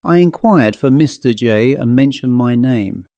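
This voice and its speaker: A man narrating a literary ghost story as an audiobook, in a measured storytelling manner. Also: medium pitch, animated, dark tone, no echo, muffled recording.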